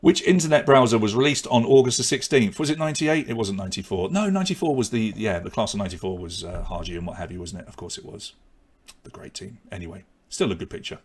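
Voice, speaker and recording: A chatty man with a fairly low voice runs an online pub quiz. He reads questions and standings with easy humour and chats between rounds. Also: fast talker, husky. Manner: chatty, joking asides between reading questions and scores. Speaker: quiz host.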